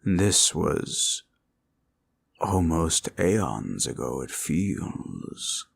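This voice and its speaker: A man playing an ancient, gentle, fatherly red dragon in an audio roleplay, speaking tenderly in old-fashioned formal phrases. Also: big pitch swings, drawn-out words, heavily acted. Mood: grave, reminiscing. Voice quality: deep, gravelly, husky voice.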